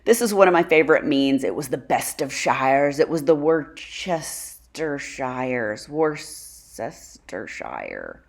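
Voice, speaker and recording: A woman's voice: rumbling low, very animated, takes it slowly, few pauses. A woman from Dallas with a strong Texan accent, hosting her channel and chatting casually with viewers, laughing often. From Dallas, Texas. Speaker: channel host.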